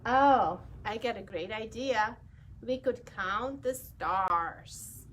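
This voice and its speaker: A woman's voice: fairly high pitch, takes it slowly, a little hiss or hum. Woman putting on a whiny stubborn pigeon. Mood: pleading. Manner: wheedling, pleading, loud bargaining. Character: pushy stubborn young male pigeon who won't sleep.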